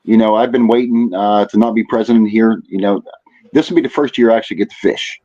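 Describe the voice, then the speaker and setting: A man speaking as a podcast guest in casual chat, haltingly, often repeating phrases as he thinks. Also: on the low side, mellow, highs cut off. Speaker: podcast guest, fishing tournament organizer.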